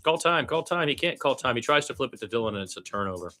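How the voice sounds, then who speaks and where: A man calling basketball as a partisan radio play-by-play announcer, blunt and opinionated, animated in his delivery and repeating phrases for emphasis. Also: fast talker. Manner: animated, swinging from low asides to loud high calls.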